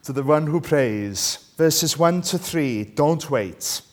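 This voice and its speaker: Slow-paced Welsh man preaching. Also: fairly high pitch, expressive. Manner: conversational, asks listeners rhetorical tag questions. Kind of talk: sermon with scripture reading.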